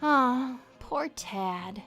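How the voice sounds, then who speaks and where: A polite little girl in a cartoon adventure game. She sounds sweet and earnest, with a high, very lively childlike voice over soft music. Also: drawn-out words, exaggerated voice. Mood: wistful. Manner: childlike, cutesy. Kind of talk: animated adventure game acting.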